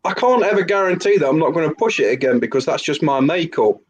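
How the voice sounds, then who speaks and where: A man's voice: a little high, animated, fast talker, no echo. A man speaking as a guest on a casual video chat, earnest and reflective.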